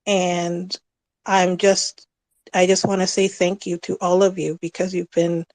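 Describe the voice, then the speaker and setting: A woman thanking listeners in an online chat, speaking in a grateful, soft-spoken, halting way. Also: fairly low pitch, flat delivery, dry recording. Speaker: guest speaker. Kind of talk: casual chat.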